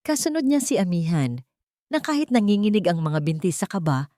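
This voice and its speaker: A woman's voice: lowish voice, sing-song, fairly quick, warm tone, no echo. Woman narrating a dramatic story, close and dry. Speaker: audiobook narrator.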